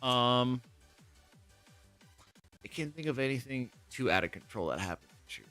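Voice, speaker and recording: Animated, laughing man telling stories. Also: medium pitch, moderate pace, soft background music. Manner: animated storyteller, laughs often, acts out people he quotes. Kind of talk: casual interview chat.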